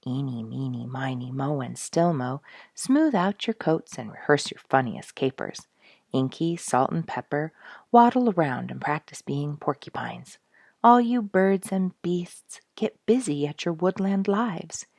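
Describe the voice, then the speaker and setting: Female audiobook storyteller: low register, gravelly fry, earnest, expressive scripted reading. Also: unhurried, no room sound, clean studio sound. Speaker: storyteller reading a book aloud.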